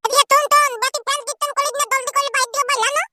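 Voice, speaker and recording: Piercingly squeaky, pestering village pal. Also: male voice, level tone, rapid-fire, over music. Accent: Purulia. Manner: insistent, nagging. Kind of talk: comic cartoon dialogue.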